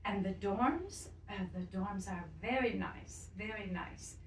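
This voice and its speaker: A woman's voice: medium pitch, takes it slowly, reverberant, recorded from a distance, slight background noise. A woman voicing a fussy, doting elderly grandmother who frets and repeats herself. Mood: delighted. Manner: anxious, exclaiming, repeats herself.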